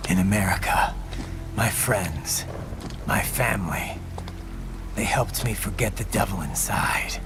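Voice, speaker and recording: A man's voice: a little slow, pauses often, lightly acted. An actor playing a grim male cyborg warrior who broods darkly and admits his love of killing, in a video game cutscene. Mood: dark, confessional. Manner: low, gravelly, brooding, turning to savage shouts. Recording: game cutscene over loud music.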